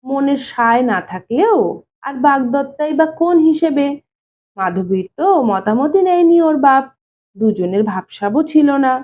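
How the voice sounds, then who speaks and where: A woman's voice: high voice, animated, measured pace, phone-quality sound. A woman reading an audio story, playing a reluctant younger man who answers defensively but respectfully. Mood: evasive, protesting. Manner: evasive, protesting, deferential.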